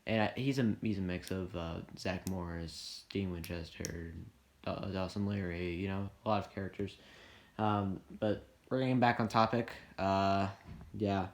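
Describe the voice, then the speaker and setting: A man's voice: deep voice, steady tone, unhurried, slight background noise. A man interviewing a friend for a documentary in a casual, rambling way, full of "like" and restarts. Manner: rambling, heavy use of "like" and restarts. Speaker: interviewer and filmmaker.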